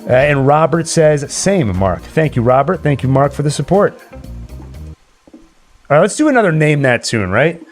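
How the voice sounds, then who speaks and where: A man's voice: on the high side, sing-song, moderate pace, faint music behind. A man hosting a livestream party, chatting casually, reading out the chat and running trivia. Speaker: livestream party host and quizmaster.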